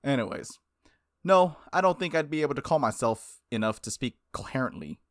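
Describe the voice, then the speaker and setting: A gaming host reading visual novel text aloud and commenting on it casually, in an animated, playful, slangy way. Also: mid-range voice, a little fast, no echo, clean studio sound.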